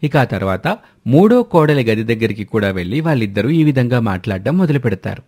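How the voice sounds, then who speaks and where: A man's voice: mid-range voice, big pitch swings, a little fast, mellow. A man narrating a scripted moral story as its storyteller.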